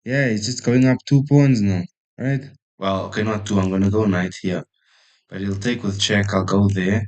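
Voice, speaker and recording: A man's voice: on the low side, fairly even intonation, moderate pace, a little mellow. A man from South Africa streaming chess, thinking aloud and chatting casually with viewers in a self-deprecating way. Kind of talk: casual live-stream commentary.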